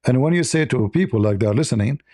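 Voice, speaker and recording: A man's voice: medium pitch, expressive, fast talker, dark tone, no echo, clean studio sound. A man whose first language is Arabic, hosting a heated live debate, combative and mocking, repeating himself insistently. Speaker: debate stream host.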